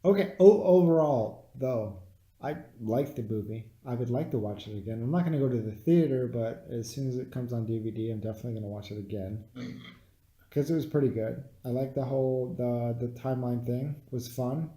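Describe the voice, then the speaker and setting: A man with a dark, relaxed tone and a mid-range voice talks casually as a podcast co-host. He tends to grope for words as he goes. The sound is noticeably roomy and echoey. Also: mid-range voice, fairly quick. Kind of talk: casual movie review chat. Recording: echoey room.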